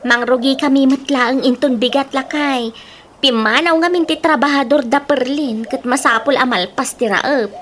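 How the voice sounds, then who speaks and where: An actress plays a humble woman who works the fields in a radio comedy drama. Her voice is very high and she talks plainly. A little background noise comes with it. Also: normal speed, a bit bright. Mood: matter-of-fact. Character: deferential, plain-spoken woman farm labourer.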